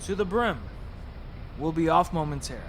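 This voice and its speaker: An actor playing a cheerful, chatty bus driver in an audio drama, breezy and reassuring. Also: male voice, a little high, animated, brisk, a little hiss or hum, natural voice, rough recording. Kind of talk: radio drama acting.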